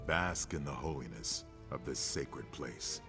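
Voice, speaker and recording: A man's voice: very deep voice, a little slow. An actor playing a serene, pious male temple worshipper in a fantasy game, speaking in a solemn manner. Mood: serene, polite. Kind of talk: game dialogue acting. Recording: music behind the voice.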